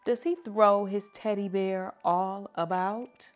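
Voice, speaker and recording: A woman reading picture books aloud to children like a teacher, with animated, drawn-out emphasis. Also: mid-range voice, soft background music. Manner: animated, drawn-out emphasis, asking listeners questions. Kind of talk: expressive children's story read-aloud.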